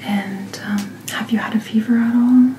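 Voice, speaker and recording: A woman performs an ASMR doctor roleplay in a soft, breathy near-whisper. She takes her time, speaking very slowly with a gentle, reassuring bedside manner. The room is audibly echoey, with clear noise in the background. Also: fairly high pitch, steady tone.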